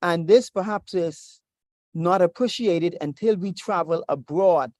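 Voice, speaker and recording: A preacher from the Bahamas, teaching in a measured, deliberate way over a video call. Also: mid-range voice, darkish tone, no echo. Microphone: video call with screen share.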